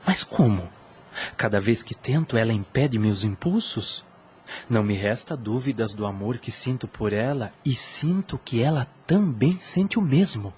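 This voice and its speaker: A man's voice: mid-range voice, expressive, unhurried, a little mellow, a little hiss or hum, close to an everyday voice. A man playing an earnest, lovestruck priest of about thirty in an audio drama, speaking formally and with fervor. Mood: tormented longing. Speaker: voice actor.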